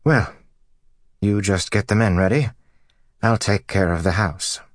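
A man's voice: on the low side, a little slow, dry recording, clean studio sound, pitched above the performer's own voice, close to an everyday voice. Guy reading as homely old married farm wife, fussing and practical, kinda anxious, voice lifted up, audiobook. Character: anxious, kindly grey-haired farm wife, long married. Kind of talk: audiobook character dialogue.

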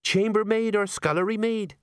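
A man's voice: sits high, steady tone, normal speed, a bit bright, smooth, no echo, clean studio sound, pitched above the performer's own voice. A man voicing a plodding middle-aged male sergeant who fusses and gossips. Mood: fussing.

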